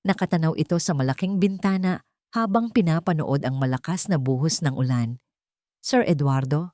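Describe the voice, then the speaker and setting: A woman's voice: deep voice, fairly quick, warm tone, no echo, clean studio sound. A woman reading a dramatic scripted story as its narrator.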